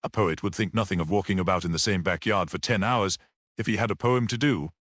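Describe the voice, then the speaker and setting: A man voicing a mild, absent-minded, good-humoured priest in a mystery audiobook, musing and gently explanatory. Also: low-pitched, a little fast, dry recording, clean studio sound. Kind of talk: audiobook character reading.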